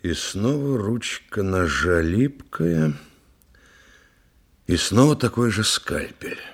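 An actor playing a thoughtful investigator in a radio play, measured and reflective, with a gravelly, husky voice. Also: male voice, low voice, very animated, heavily acted. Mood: pondering. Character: thoughtful investigator pondering a theatre murder. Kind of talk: dramatic radio play dialogue.

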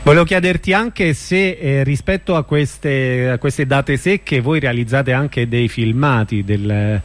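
A man's voice: medium pitch, a little slow. A man hosting an informal radio interview, feeling his way through questions and often murmuring agreement. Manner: tentative questions with frequent short affirming interjections.

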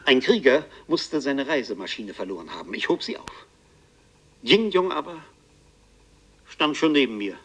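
A haunted bookseller from a radio play, telling his strange ordeal as a first-person narrator. His delivery is literary and deliberate, with a dramatic intensity at a middling male pitch. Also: very animated, fairly bright tone, slight background noise. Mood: haunted, agitated.

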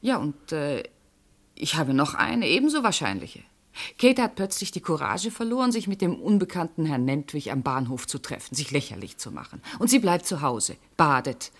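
A woman's voice: deep voice, big pitch swings, normal speed, full character voice. An actress playing a composed, guarded, self-assured woman under questioning in a German radio play, formal, evasive and insistent.

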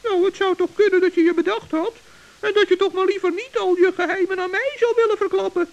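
A woman's voice: very high-pitched, steady tone, a little fast, lo-fi sound. A woman voicing a cheerful, brave male forest gnome in an old children's radio play, chatty and earnest. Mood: chirpy.

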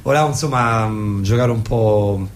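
A man's voice: fairly low pitch, moderate pace. A man hosting a live radio show as an improv performer, talking casually and jokingly, often breaking off mid-sentence. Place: radio studio with others present. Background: live guitar music.

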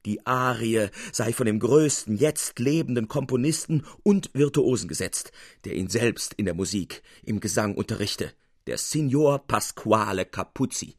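Husky male literary narrator. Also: mid-range voice, measured pace, no echo, clean studio sound. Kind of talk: scripted literary narration for radio.

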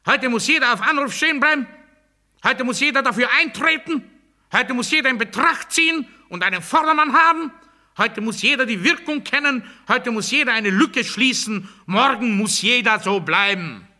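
Radio play casting: imprisoned demagogue, delusional and commanding, high sharp voice, declamatory delivery moving from mutter to oratory. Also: male voice, animated, normal speed, exaggerated voice. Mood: strident, agitated. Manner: declamatory, rhetorical, swinging between hushed muttering and loud oratory. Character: ranting male demagogue in a cell, delusional and commanding. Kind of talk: German radio play monologue.

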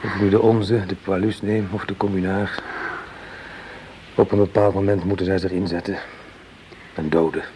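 An actor playing a loyal, protective soldier, a corporal, with an earnest and sombre manner in a radio drama. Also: male voice, low-pitched, fairly even intonation, normal speed, long pauses, warm tone, lightly acted. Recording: noisy old radio drama recording.

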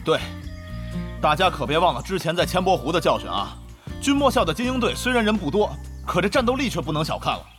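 A man's voice: a little high, sing-song, fast, fairly bright tone. A man playing a hot-headed, combative online gamer in a Mandarin audio drama. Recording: music bed under the dialogue.

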